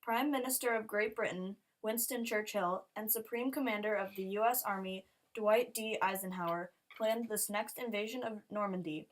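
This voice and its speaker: A woman with a medium-pitched voice reads a newsreel script. The delivery is that of a prepared historical narration. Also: moderate pace, few pauses, rough recording.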